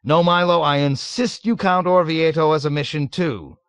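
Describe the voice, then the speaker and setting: A man voicing a jovial, nervous middle-aged colonel who gushes loudly and is eager to please. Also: a little high, normal speed, clean tone, no echo, pitched above the performer's own voice, lightly acted. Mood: gushing. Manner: loud, gushing, eager to please.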